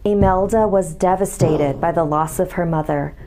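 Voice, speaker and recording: A woman's voice: on the low side, normal speed, background noise. A woman reading a scripted horror story as its narrator, with music behind her.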